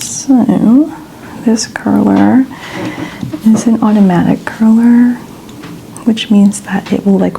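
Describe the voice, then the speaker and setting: Slow, hushed ASMR woman, often whispering. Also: mid-range voice, background noise. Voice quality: soft, breathy, often whispering. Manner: gentle, soothing, step-by-step explaining. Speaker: ASMR host playing hairstylist. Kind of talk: soft-spoken ASMR narration of hairstyling.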